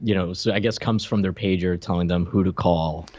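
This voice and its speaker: Low, dull-sounding man chatting, very dry. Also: fairly even intonation, a little fast, a little mellow, no room sound. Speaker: podcast co-host. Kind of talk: casual chat, commentary.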